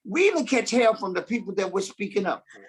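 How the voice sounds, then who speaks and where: A man's voice: high-pitched, big pitch swings, fast talker, slightly rough. A man speaking bluntly and emphatically on a conference panel, swearing freely. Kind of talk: panel discussion.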